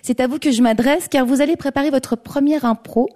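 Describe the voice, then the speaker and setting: A woman hosting a live studio show in front of an audience, speaking in a lively presenter manner. Her voice sits a little high. She introduces performers and questions her guest. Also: fairly quick. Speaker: host.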